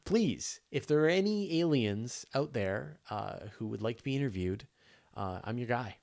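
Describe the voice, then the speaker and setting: A man's voice: mid-range voice, animated, moderate pace, creaky, darkish tone. A man hosting a casual live question-and-answer session, explaining things step by step in an enthusiastic, conversational way. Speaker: host of a live astronomy Q&A.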